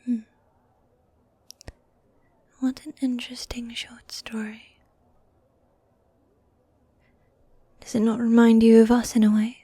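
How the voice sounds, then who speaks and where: A woman voicing a teasing, tender demon girlfriend in a medieval fantasy ASMR roleplay, speaking in soft breathy whispers with formal, old-fashioned phrasing. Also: fairly high pitch, flat delivery, unhurried, no room sound. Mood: tender, musing. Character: gothic demon girlfriend, teasing, tender, dominant yet needy.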